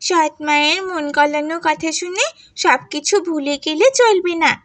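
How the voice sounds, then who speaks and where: A woman's voice: high voice, steady tone, unhurried, fairly bright tone, no echo. A woman voicing a stubborn, distrustful seven-year-old village girl in a cartoon, childlike and insistent. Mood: defiant. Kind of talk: animated moral story voice acting.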